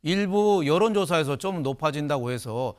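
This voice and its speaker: A man's voice: fairly high pitch, expressive, normal speed, a little mellow, clear, resonant tone. An older man commenting on a political panel, with a folksy way of talking and proverbs. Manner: folksy, uses proverbs and food jokes. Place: broadcast studio.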